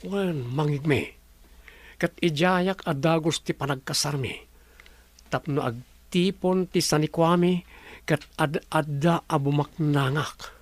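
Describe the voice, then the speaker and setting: A man's voice: a little high, takes it slowly, no echo. A man in a radio drama playing a smug, scheming rich man who is greedy for more wealth.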